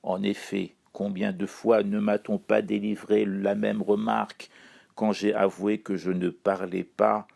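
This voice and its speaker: Slow, gravelly, dark-voiced man. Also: fairly low pitch, little pitch movement. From Iran. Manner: wry, ironic, measured delivery. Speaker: chronicler. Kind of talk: read-aloud spoken column.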